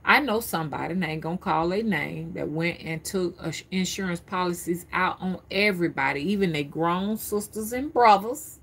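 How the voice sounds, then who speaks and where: A woman in her fifties with a husky, slightly low voice. She rambles casually to her live viewers, stammering and repeating words as she thinks aloud. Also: moderate pace, hardly any pauses, a bit bright. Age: 50s. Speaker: spiritual reader streaming live. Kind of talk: casual live-stream talk.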